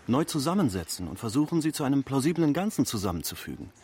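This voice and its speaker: A man's voice: mid-range voice, sing-song, quick pace, a little mellow, husky. An actor playing a thoughtful fresco restorer in a radio drama, probing and tentative as he advises a friend. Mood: pensive, probing.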